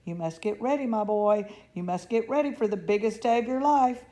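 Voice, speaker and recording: A woman reading aloud as an excitable old grandfather, calling out urgent orders in loud exclamations. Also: medium pitch, fairly quick, voice pushed up, lightly acted.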